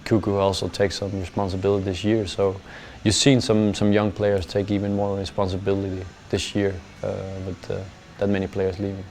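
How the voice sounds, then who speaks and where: A young man with a low voice answers interview questions in careful English, his pitch staying level with little rise or fall. He stumbles over repeated words and fills gaps with "uh", and his sentences fade out quietly at the ends. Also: flat delivery, a little hiss or hum. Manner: halting, repeats words often with many "uh" fillers; plain and measured, trails off softly at the ends of phrases. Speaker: football player. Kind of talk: post-match interview answers.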